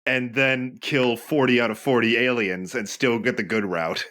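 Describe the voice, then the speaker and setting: A man's voice: medium pitch, steady tone, moderate pace, a bit bright. A young man bantering casually and jokingly as a co-commentator on a game. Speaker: let's play co-commentator.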